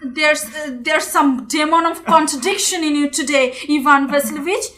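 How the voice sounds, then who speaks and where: A young woman with an Indian accent playing a stubborn, quick-tempered landowner's daughter in an amateur audio drama. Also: high voice, fairly bright tone. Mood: angry, argumentative. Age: young adult. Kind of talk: amateur student stage-play audio drama.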